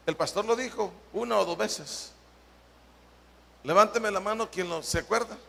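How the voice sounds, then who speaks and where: A Salvadoran man preaching a Bible study as pastor, with slow, emphatic delivery that stretches key words and puts questions to the congregation. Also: high voice, creaky, a bit bright. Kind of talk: sermon. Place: church hall. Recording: long church-hall reverb.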